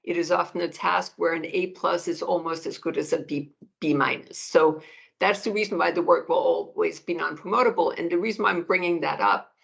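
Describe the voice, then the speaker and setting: Woman, middle-aged, gravelly voice, lo-fi call sound, measured explanations. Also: fairly low pitch, fairly even intonation. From Denmark. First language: Danish. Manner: measured, restarts phrases while thinking. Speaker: economics professor, guest. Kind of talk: interview answers, explanatory.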